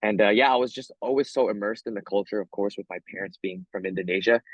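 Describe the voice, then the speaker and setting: A man's voice: fairly low pitch, fast, breathy edge, no echo, poor audio. An American man, a fighter being interviewed, chatting casually in slang and often repeating himself. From Hawaii, USA.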